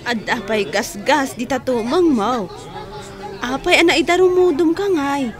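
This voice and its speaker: A woman's voice: high-pitched, unhurried, soft background music, some chatter behind. An actress playing a concerned, gossipy older widowed village woman in a radio drama. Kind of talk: Ilocano radio drama acting.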